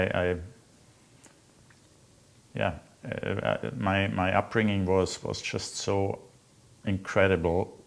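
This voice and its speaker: A middle-aged man from Austria with an Austrian accent, a native German speaker, telling his life story off the cuff in a halting, reflective way. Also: sits low, vocal fry. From Austria, Salzburg province. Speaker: interviewee recounting own life. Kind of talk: unscripted personal storytelling.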